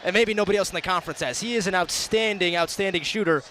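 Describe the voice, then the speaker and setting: A man's voice: high voice, fast talker, fairly bright tone. A young man giving emphatic, conversational color commentary during a live sports broadcast. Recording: outdoor broadcast with faint background noise.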